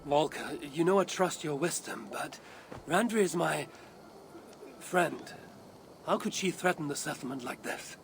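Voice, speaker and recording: A woman's voice: sits low, unhurried, creaky, slight background noise. A woman playing a resolute, guarded Viking warrior in a video game, speaking in a terse, restrained and serious way. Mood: troubled, disbelieving. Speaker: game protagonist. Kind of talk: video game cutscene acting.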